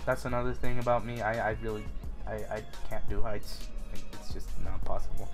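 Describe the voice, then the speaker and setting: A man's voice: lowish voice, fairly even intonation, moderate pace, faint music behind. A young man from Utah answering viewer questions in a casual, rambling vlog, full of fillers and restarts.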